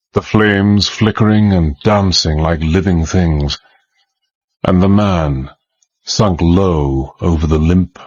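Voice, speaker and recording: An actor playing a reflective adventurer, giving measured first-person narration in a radio drama. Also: male voice, deep voice, husky, no room sound, highs cut off, exaggerated voice. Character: reflective male adventurer recalling his past.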